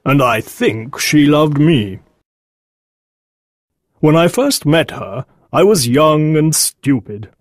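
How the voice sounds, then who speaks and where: An actor playing a big, lovelorn, anguished gentleman in a dramatised story. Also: fairly high pitch, animated, slow, long pauses, no room sound, clean studio sound. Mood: wistful, regretful.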